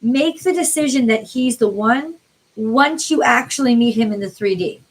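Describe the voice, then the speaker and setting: An American woman from the New York City area reads tarot on a live stream, chatting informally with viewers by name, her voice tiring and getting a little hoarse. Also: fairly high pitch, moderate pace, low-quality recording. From New York City area, USA. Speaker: tarot card reader, livestream host.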